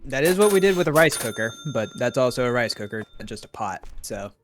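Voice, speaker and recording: A man's voice: medium pitch, big pitch swings, fast, faint music behind. A man chatting casually with a friend, laid-back and teasing, laughing often. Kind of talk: casual chat while playing a game.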